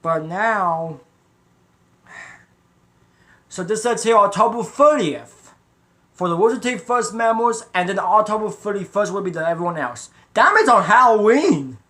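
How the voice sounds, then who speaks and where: A man's voice: high voice, lively intonation, a little slow, pauses often, pushed and bright. A man hosting a reaction video, chatting casually and directly to viewers in a rambling, repetitive way. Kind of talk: casual trailer reaction chat.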